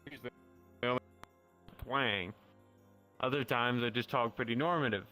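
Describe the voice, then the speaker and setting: A man's voice: mid-range voice, normal speed, pushed and bright, faint music behind. A man with a light Southern US accent, chatting casually with a fellow roleplay player and rambling through his explanations. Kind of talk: casual chat.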